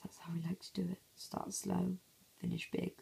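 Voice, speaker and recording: A man with a hoarse, croaky voice, half-whispering casual commentary over a mobile game at home early in the morning, recorded on a phone. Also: high-pitched, monotone, moderate pace, warm tone. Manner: half-whispering to avoid waking sleepers. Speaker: gameplay commentator.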